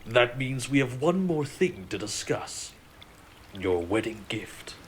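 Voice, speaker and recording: An actor playing a benevolent, commanding king in a romantic audio drama, speaking in a formal, measured, courtly way. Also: mid-range voice, very animated, a little hiss or hum. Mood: playful, deliberate.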